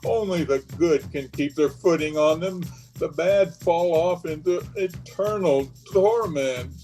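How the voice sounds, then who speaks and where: Guilt-haunted killer played by a man, old-time radio horror: slow, feverish, melodramatic delivery over background music. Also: on the high side, warm tone, close to an everyday voice, rough recording. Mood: fatalistic raving. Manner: melodramatic, feverish, repeating words. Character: guilt-ridden, haunted male killer, raving with dread.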